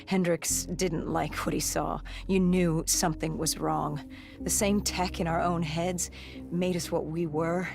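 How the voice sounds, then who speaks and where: A grim cyber-augmented soldier, looks back on a disaster in a video game cutscene. The voice is low-set and gravelly, with creaky edges and somber, measured phrasing. Music plays underneath. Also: level tone. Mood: grim, reflective.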